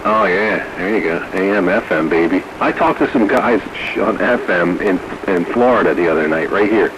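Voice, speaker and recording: Low-voiced man on staticky CB radio. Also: expressive, moderate pace. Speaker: CB radio operator. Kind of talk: casual chat over CB radio. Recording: noisy, staticky CB radio transmission.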